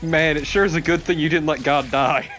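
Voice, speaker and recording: A man's voice: on the high side, fairly even intonation, fairly quick, a bit bright, faint music behind. A man co-commentating on a video game, chatting casually with a joking, irreverent manner. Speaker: co-commentator on a let's play. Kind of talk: casual chat over gameplay.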